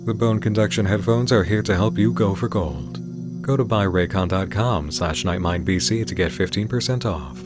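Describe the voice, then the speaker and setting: A man delivering scripted video-essay narration in a measured, formal, articulate way. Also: on the low side. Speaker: host of video essay channel on horror series. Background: music under the voice.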